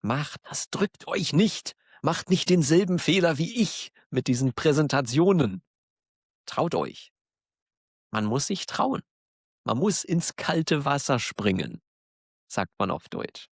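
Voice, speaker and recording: A man with a German accent, hosting a podcast for learners, talking slowly and clearly in a warm, encouraging way and laughing now and then. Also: on the high side, very animated, no room sound, clean studio sound. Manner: encouraging, self-deprecating, laughs often. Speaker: German teacher, podcast host. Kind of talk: slow, clear monologue for learners.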